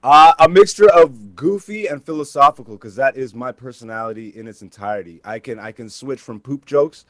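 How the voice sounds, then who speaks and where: A man, a comedian talking casually as an interview guest and telling stories in animated, informal language. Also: mid-range voice, brisk, few pauses. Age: 30s. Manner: informal, peppered with like and you know.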